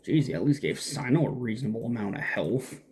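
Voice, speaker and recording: A man's voice: medium pitch, expressive, brisk, darkish tone. A man giving casual, off-the-cuff commentary while playing a video game, with keyboard clicks behind him. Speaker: game streamer. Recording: faint background noise, keyboard clicks.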